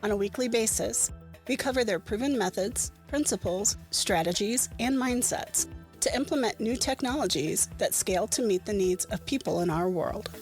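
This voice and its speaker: A woman's voice: medium pitch, lively intonation, moderate pace, a little mellow. A woman reading a polished, scripted podcast introduction. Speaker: show announcer. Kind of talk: scripted intro over music. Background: music.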